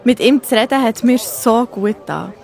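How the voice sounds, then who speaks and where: A woman's voice: fairly high pitch, moderate pace, creaky, a little mellow, some chatter behind. A woman playing a young biblical woman in a children's audio drama, speaking expressively with a Swiss German accent. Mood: excited, amazed. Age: young adult.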